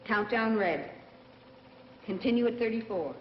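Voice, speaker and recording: A composed woman scientist played by an actress speaks with formal poise in a dubbed space film. The old soundtrack is echoey and dull, with clear noise. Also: a little high, measured pace, close to an everyday voice. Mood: commanding, composed.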